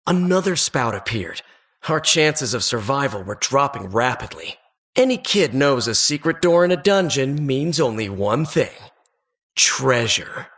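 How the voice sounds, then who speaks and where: Husky, dramatic adventure narrator. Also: male voice, mid-range voice, fairly bright tone, heavily acted. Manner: suspenseful, theatrical, wistful storyteller. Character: adventurer recalling childhood dungeon exploits, earnest and dramatic. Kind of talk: dramatic storybook narration for a video game.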